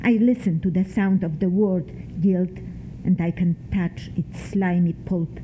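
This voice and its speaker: An older woman from Poland, reading her own memoir in a measured, deliberate way, lingering on single words. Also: on the low side, fairly even intonation. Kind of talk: slow, measured literary reading. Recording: tinny phone-like sound, faint hiss.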